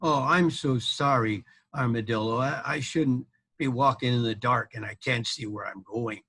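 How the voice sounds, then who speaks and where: A man's voice: medium pitch, moderate pace, fairly bright tone, breathy edge. A man voicing a bumbling, apologetic knight in a children's story, hesitant and sheepish. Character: bumbling, apologetic knight lost in a dark forest.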